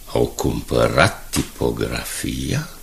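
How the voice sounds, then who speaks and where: A man's voice: a bass voice, lively intonation, creaky, a little hiss or hum, full character voice. A man playing a determined newspaper director under pressure in a radio drama, speaking in a commanding, emphatic way. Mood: troubled. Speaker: actor.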